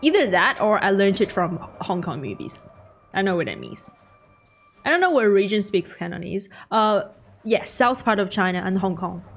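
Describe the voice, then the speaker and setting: A woman with a Mandarin accent and Mandarin as her first language, chatting casually and haltingly with her chat while streaming a game. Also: mid-range voice, sing-song, gravelly. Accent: Mandarin-accented English. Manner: halting, chatty, answers chat with many restarts. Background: game music.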